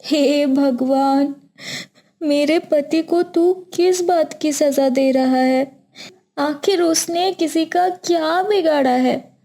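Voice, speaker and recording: A woman's voice: high voice, slow, warm tone, pitched above the performer's own voice. A woman voicing a wrestler's anxious wife crying out to God in a Hindi cartoon. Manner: wailing, anxious.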